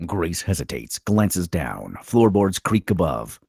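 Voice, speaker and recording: A male narrator reads scripted stage directions dramatically. His voice is deep and rough-edged, with lively rises and falls. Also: slow, dry recording, clean studio sound.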